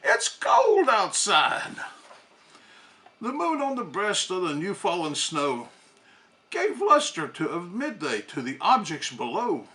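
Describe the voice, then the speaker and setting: A man's voice: high voice, wildly swooping pitch, takes it slowly, bright, forceful tone, full character voice. A man playing a jolly old Santa Claus, reading rhymed storybook verse to children in a hearty, playful, sing-song way. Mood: storytelling wonder.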